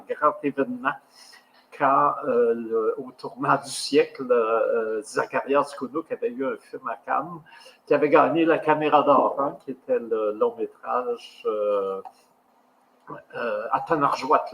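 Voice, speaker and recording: A man's voice: mid-range voice, a bit bright, slight background noise, poor audio. A man co-hosting a podcast, speaking casually with many hesitations. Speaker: podcast co-host.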